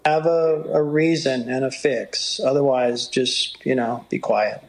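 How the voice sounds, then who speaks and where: A middle-aged man with a low voice, talking through stories in an interview and sometimes quoting others in a livelier tone. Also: poor audio. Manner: unhurried, storytelling, quotes others in a livelier voice. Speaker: motocross broadcaster. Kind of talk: reflective interview talk.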